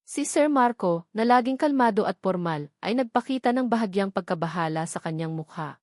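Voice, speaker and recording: A woman's voice: mid-range voice, expressive, a little fast, a little mellow, no room sound, studio quality. A woman narrating a scripted melodramatic story in a suspenseful, emphatic manner.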